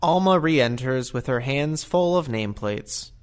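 A man's voice: mid-range voice, animated, measured pace, smooth. A man narrating an audio play, reading out scripted stage directions and scene changes.

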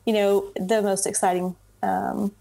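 A woman's voice: mid-range voice, measured pace, gravelly. A middle-aged woman, a web entrepreneur guest, chatting casually in an interview and acting out her own past thoughts as she talks. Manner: chatty, animated storytelling with acted quotes.

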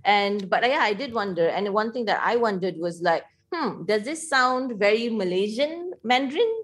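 A woman sharing her thoughts on a play in a casual review chat, hesitant and halting as she searches for words. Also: mid-range voice, animated, breathy edge. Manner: hesitant, many fillers and restarts. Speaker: theatre reviewer.

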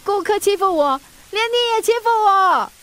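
A woman's voice: very high voice, lively intonation, normal speed, a bit bright. A woman playing a feisty working mother who delivers food, in a radio drama, exclaiming and grumbling melodramatically. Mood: distressed complaining. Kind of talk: Chinese radio drama acting. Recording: rain and thunder sound effects.

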